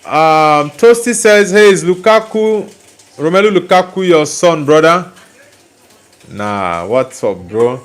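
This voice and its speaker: A man's voice: a little high, animated, takes it slowly. A young man from Nigeria hosting a live stream, casually reading viewer comments aloud and answering them bluntly. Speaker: livestream host reading chat.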